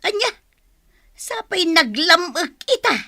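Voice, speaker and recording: A woman playing a lively, thrifty married village woman in an Ilocano radio comedy, expressive and full of exclamations, with an Ilocano accent. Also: very high-pitched, slow pace, no room sound, studio quality, full character voice. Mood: exasperated. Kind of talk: Filipino radio comedy drama acting.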